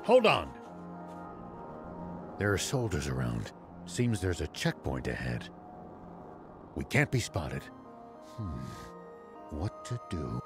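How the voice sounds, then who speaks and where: An actor playing a stern, shrewd old sheriff in a video game, speaking in a measured, musing way. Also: male voice, extremely low pitch, wildly swooping pitch, over music, heavily acted. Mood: pondering, guarded. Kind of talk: video game cutscene acting.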